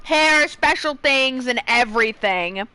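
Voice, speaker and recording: A young woman streams a game with casual commentary. Her voice is fairly high and sharply bright, and she rambles in a chatty, opinionated way. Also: animated, slow, clear, resonant tone, rough recording.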